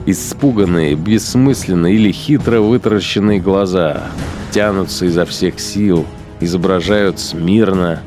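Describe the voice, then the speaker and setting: A cold, sardonic Nazi commander thinks aloud in a radio play. His voice sits low, and he weighs his phrases with deliberate contempt. Music plays faintly behind him. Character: cynical, cold-blooded male Nazi punitive unit commander, musing contemptuously. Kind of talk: dramatized inner monologue in radio play.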